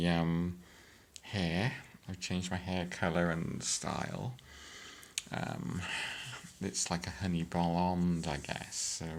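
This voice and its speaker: A woman, chatting hesitantly in a casual vlog update, with many fillers and frequent throat-clearing. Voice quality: deep voice. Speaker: vlogger.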